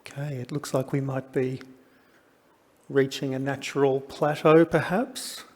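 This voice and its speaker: A man hosting a summit session, talking in a relaxed, friendly way. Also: medium pitch, measured pace. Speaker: summit host. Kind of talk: event hosting. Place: conference hall. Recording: reverberant hall.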